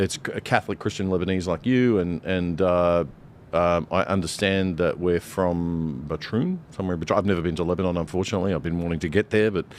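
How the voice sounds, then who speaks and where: A man's voice: deep voice, a little hiss or hum. A middle-aged Australian man, a broadcaster on a discussion panel, talking emphatically with many fillers and restarts.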